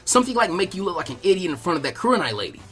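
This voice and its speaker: A man's voice: high voice, big pitch swings, very fast, fairly bright tone. A man voicing a brash, cocky boy ninja trainee in an anime fan story. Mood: mischievous.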